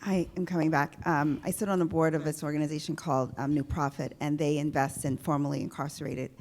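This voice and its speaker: A woman with an unusually deep, gravelly voice asks a multi-part question from the audience. She is businesslike, and faint noise sits under the recording. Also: fairly even intonation, quick pace. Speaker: audience member, board member.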